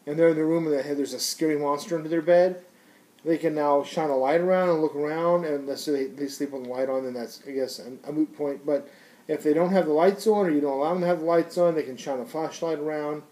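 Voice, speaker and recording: Man, slightly high pitch, soft mellow tone, slangy, conversational, rambling product reviewer. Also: fairly even intonation, fast talker, poor audio. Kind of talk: casual unscripted product review.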